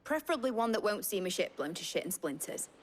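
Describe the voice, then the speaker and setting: An actress playing a brash, excitable young shipwright in a video game, with a British accent and cheeky, informal speech. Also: female voice, medium pitch, quick pace. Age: young adult. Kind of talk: video game cutscene acting.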